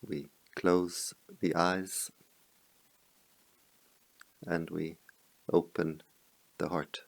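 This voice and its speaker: A man leading a guided meditation as a teacher, speaking in slow, measured phrases with pauses between them. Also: low-pitched, level tone, creaky, no room sound, low-quality recording.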